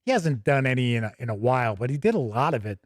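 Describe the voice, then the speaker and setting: A man's voice: mid-range voice, very animated, quick pace, dry recording, studio quality. A man hosting a tabletop gaming show, casually thinking aloud and drifting into asides as he pages through a book. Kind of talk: casual live commentary while paging through a rulebook.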